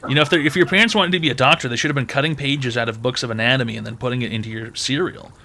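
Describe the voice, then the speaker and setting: A man's voice: mid-range voice, fairly even intonation, very fast, sharp tone. A man hosting a call-in advice show, brash and mocking with crude, profane jokes.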